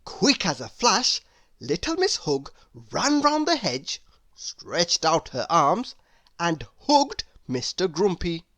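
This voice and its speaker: A woman narrating a children's story in an expressive, emphatic read-aloud style. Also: lowish voice, slow, no echo. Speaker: storybook reader.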